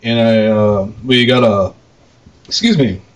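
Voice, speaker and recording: A man co-hosting a podcast in casual chat, informal and halting, often trailing off. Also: medium pitch, low-quality recording. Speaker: podcast co-host, arcade operator.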